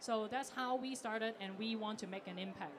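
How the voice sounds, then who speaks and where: A woman speaking on a conference panel about founding her fund, with a clear, steady explanation. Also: on the high side, normal speed, a crowd in the background.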